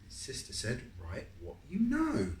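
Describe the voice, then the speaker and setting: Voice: medium pitch, huge pitch swings, unhurried, a little mellow. An adult reading a picture book aloud to a young child, encouragingly, with guiding questions and stretched-out story phrases. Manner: encouraging, asks guiding questions and confirms answers. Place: echoey room at home. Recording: roomy echo, some background noise.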